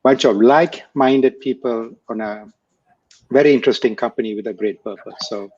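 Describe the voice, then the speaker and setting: A man speaks in a measured, halting way, dotting his answers with fillers. His voice sits at an ordinary pitch. The recording is dry and a little dull, like a close mic with narrow sound. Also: darkish tone, breathy edge, no room sound. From Malaysia. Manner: measured, hesitant with many fillers. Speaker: guest, former startup executive. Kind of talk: conversational interview answer.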